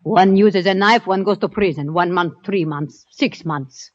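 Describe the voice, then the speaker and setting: A woman's voice: fairly low pitch, unhurried, natural voice. An actress playing a sullen, world-weary underworld woman in a radio drama, scornful and declamatory, on a lo-fi recording. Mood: bitter, weary. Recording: dull, lo-fi old radio recording.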